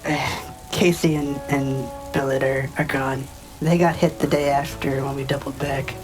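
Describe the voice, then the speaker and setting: Tough, blunt giant orc. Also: female voice, rumbling low, steady tone, over music, rough recording. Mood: tense, resigned. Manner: blunt, unhurried, slangy. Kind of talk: scripted audio drama dialogue.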